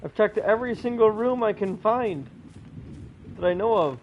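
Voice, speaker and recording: A man's voice: high-pitched, animated, normal speed. A man giving casual gameplay commentary, thinking aloud as he plays. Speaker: gamer. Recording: dull mic with faint background noise.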